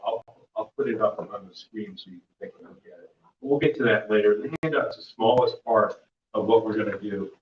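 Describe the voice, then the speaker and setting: Man, booming presenter at a workshop, rambling delivery, distant mic in a reverberant meeting room. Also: slight background noise. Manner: loose, rambling delivery with many fillers and restarts. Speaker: volunteer mentor presenting a workshop. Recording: dull, echoey room audio from far off.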